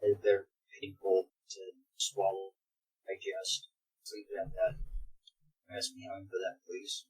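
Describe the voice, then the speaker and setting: Man, very husky breathy voice, slow pace, hugely varied pitch, dramatic read-along. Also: monotone, poor audio. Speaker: co-host reading fan fiction aloud. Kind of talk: casual read-along with dramatic line readings.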